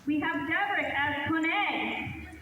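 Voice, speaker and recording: A high-voiced woman announces contestants in a big reverberant hall. She is heard from far away, through heavy noise. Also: takes it slowly, highs cut off. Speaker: event announcer. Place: large reverberant room, heard from a distant camera.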